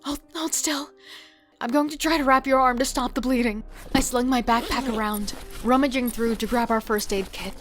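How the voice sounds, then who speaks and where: A high, tense female voice from an actress as a wary killer in a horror audio drama. She is devoted but seething, delivering first-person narration and dialogue with strong emotion, over clearly audible music. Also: fairly even intonation, moderate pace, lightly acted. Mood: relieved, worried.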